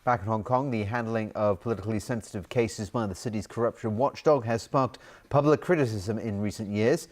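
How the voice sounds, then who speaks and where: A man's voice: fairly low pitch, a little fast. A man working as a news anchor, presenting scripted news.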